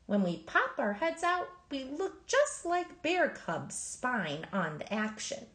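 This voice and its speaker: A woman reading a children's picture book aloud in an expressive, emphatic storytelling manner. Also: high voice, slow pace, reverberant. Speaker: storybook reader.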